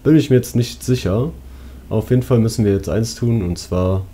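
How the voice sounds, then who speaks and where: A man comments casually on a game he is playing. His voice is low, dark and mellow, with a husky, unclear edge. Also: normal speed. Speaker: let's play host.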